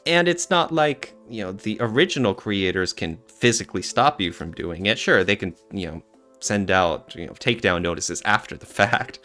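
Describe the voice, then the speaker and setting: A man's voice: lowish voice, expressive, normal speed, pushed and bright, soft background music. A man co-hosting a podcast, talking casually in an earnest, reflective way with some hedging. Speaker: podcast co-host.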